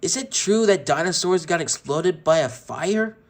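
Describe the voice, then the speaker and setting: A man voicing a cruel boy who gloats slowly and sneers at his victims. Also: fairly high pitch, lively intonation, pitched above the performer's own voice, natural voice. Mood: gloating. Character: cruel mocking boy who torments toys.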